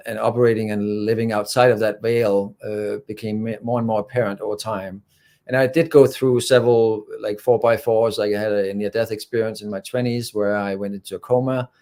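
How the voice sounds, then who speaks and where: Low-voiced man, earnest and rambling. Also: darkish tone. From Denmark. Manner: earnest, rambling, fills with "you know". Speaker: guest, spiritual channeler. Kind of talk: casual interview talk.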